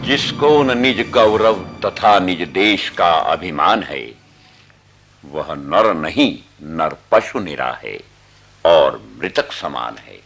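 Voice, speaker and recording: A solemn patriotic poet recites in a radio drama. The voice is deep and slow, with grave, declamatory weight. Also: male voice, expressive, a bit bright, exaggerated voice. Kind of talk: radio play poetry recitation.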